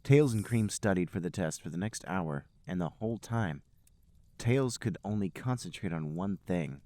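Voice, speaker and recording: A man's voice: low-pitched, lively intonation, normal speed, dark tone. A man hosting a podcast, reading comic fan fiction aloud in a mock-earnest storybook manner. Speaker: podcast host and narrator.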